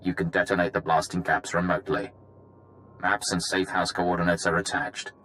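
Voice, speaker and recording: A businesslike infiltration operative in a video game. His voice is deep and forceful, laying out a brisk, matter-of-fact briefing over faint noise. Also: level tone.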